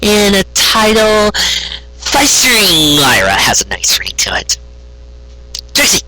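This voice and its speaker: A man's voice: high-pitched, wildly swooping pitch, unhurried, sharp tone, faint music behind, pitched above the performer's own voice, heavily acted, rough recording. A man voicing a wry, blunt, impatient young female unicorn in a storybook reading. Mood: scheming.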